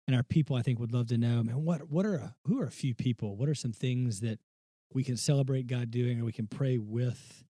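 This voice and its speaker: Mellow host asking questions. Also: male voice, medium pitch, dry recording, clean studio sound. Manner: thoughtful, feels out his questions. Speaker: podcast host. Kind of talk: casual conversation.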